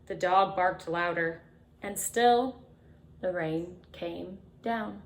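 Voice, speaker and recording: A woman reading a picture book aloud to children like a teacher, expressively, with dramatic emphasis and chatty asides. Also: fairly low pitch. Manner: expressive, dramatic emphasis, drawn-out refrains, chatty questions to young listeners. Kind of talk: animated children's storytime read-aloud with asides to listeners.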